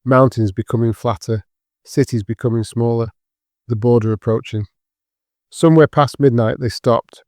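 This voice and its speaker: A man narrating dramatic fiction for an audiobook in a sombre, measured, scripted manner. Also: medium pitch, steady tone, darkish tone, no room sound, clean studio sound.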